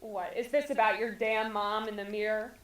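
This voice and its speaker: A woman's voice: medium pitch, steady tone, normal speed, reverberant, distant mic, lightly acted. Woman acting a harsh, scornful foster mother. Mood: cold, irritated. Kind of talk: screenplay table read acting.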